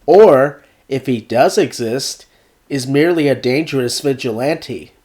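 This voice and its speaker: Man, slightly under the weather, mid-pitched voice, deliberate scripted narration, video essay host. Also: darkish tone, a little hiss or hum. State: recovering from a cold.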